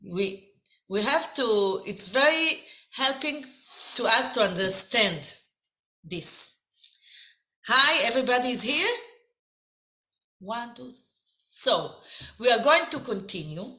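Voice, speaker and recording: A woman with an Israeli accent teaching a dance class, explaining counts slowly and haltingly. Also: a little high, lively intonation, sharp tone. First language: Hebrew. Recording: echoey room.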